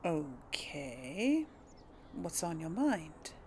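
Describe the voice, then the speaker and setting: A woman's voice: low voice, sing-song, extremely slow, darkish tone, a little hiss or hum. A woman giving casual, hesitant let's play commentary, thinking aloud to viewers as she plays. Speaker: host of a gaming let's play.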